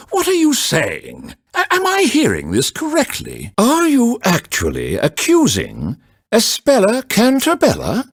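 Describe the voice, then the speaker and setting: Solemn old judge with swooping delivery. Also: male voice, a little high, fairly bright tone, no room sound, clean studio sound, heavily acted. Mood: shocked, sputtering. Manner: formal, pompous, slow courtroom pronouncements, sputtering when surprised. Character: stern, solemn elderly medieval witch-trial judge, easily flustered. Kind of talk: theatrical video game voice acting.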